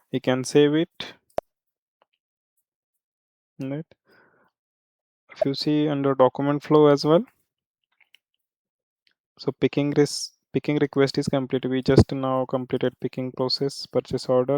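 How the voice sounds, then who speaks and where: A man working as a software trainer, explaining a screen walkthrough step by step in a halting way with repeated check-in tags. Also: medium pitch, clean tone, no room sound.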